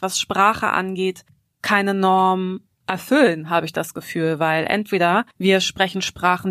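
A woman's voice: medium pitch, no echo, clean studio sound. A woman speaking as a panel guest, thoughtful and analytical, measuring her words. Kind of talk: panel discussion.

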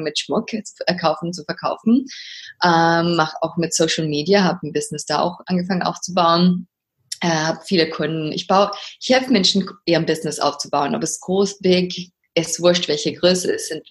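A woman from Sweden, a coach and guest on a podcast, speaking with many pauses, fillers and restarts. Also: lowish voice. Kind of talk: podcast interview.

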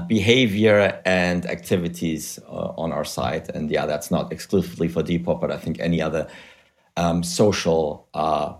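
Podcast guest, man in his thirties, German accent, low voice, measured explainer. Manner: measured, explanatory, uses you know. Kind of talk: podcast interview.

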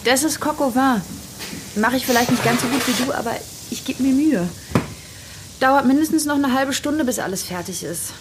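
A woman's voice: high-pitched, normal speed, close to an everyday voice. An actress playing a caring woman cooking at home in a naturalistic audio drama, modest and attentive. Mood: modest, friendly. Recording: loud sizzling kitchen noise.

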